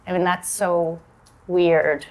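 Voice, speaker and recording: A woman in her forties from the United States, a novelist thinking aloud in a relaxed, reflective way and filling her talk with "like" and "you know". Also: on the low side, slow. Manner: reflective, loose with many likes and you knows. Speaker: novelist in a panel conversation. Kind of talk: casual conversation.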